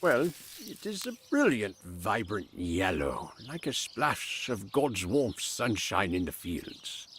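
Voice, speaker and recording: A man playing a gentle, devout old healer and priest in an audio drama, speaking earnestly in measured, old-fashioned formal phrases. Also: on the low side, big pitch swings, slight background noise, exaggerated voice. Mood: tender, wistful.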